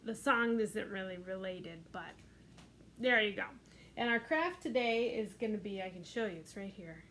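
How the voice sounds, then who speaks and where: A middle-aged woman, a children's librarian, reading a picture book aloud at storytime in a gentle, expressive voice with sing-song lifts. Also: medium pitch, normal speed, slight background noise.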